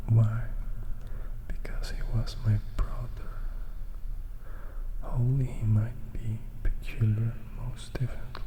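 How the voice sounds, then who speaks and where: A man voicing a brooding, regretful vampire who recounts his past in a hushed, measured ASMR whisper. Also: mid-range voice, a little hiss or hum, natural voice, poor audio. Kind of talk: whispered ASMR audiobook acting.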